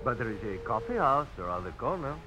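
A man playing an impoverished, excitable French painter in a radio drama, with a French accent and theatrical, lamenting delivery. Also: medium pitch, fast, over music, natural voice. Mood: genial, wry. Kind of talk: 1950s radio drama acting. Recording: noisy old radio broadcast recording.

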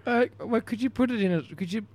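A man's voice: very high voice, quick pace, darkish tone, no room sound. A man co-hosting a comedy podcast, asking questions in a playful, mock-formal way. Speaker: podcast co-host.

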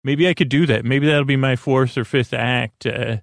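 A man with a medium-pitched, creaky voice hosts a bedtime podcast. He meanders from one tangent to another, halting, repeating and interrupting himself. The recording is dry, with very little room sound. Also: no room sound. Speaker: podcast host. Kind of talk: rambling bedtime sleep podcast intro.

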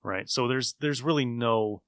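A man's voice: mid-range voice, measured pace, fairly bright tone, no echo, clean studio sound. A man hosting a casual podcast chat about old radio shows, rambling along as an enthusiastic storyteller. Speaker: podcast host.

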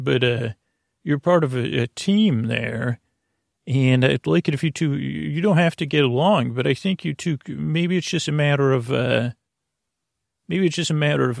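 A man with a mid-pitched voice full of vocal fry hosts a sleep podcast. He wanders through a whimsical monologue, stumbling and doubling back on his words while keeping the listener reassured and accompanied. The sound is dry, with no room around it. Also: no echo. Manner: halting, meandering, stammering and repeating words; reassuring, companionable. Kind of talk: rambling, whimsical bedtime monologue.

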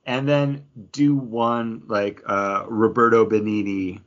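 A man's voice: fairly low pitch, steady tone, takes it slowly. A man co-hosting a podcast, bantering and reviewing in a casual, conversational way. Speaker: podcast co-host. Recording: dull lo-fi sound.

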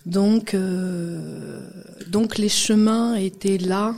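A woman's voice: mid-range voice, very slow, creaky, darkish tone. A middle-aged woman from Guadeloupe, a music industry guest, talking casually in an interview with many fillers and restarts.

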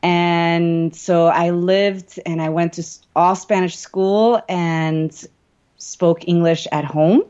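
A woman's voice: lowish voice, steady tone, takes it slowly, darkish tone, clear, resonant tone. A woman who grew up in Chile, giving thoughtful interview answers with some fillers. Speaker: guest, dancer and teacher. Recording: dull lo-fi sound.

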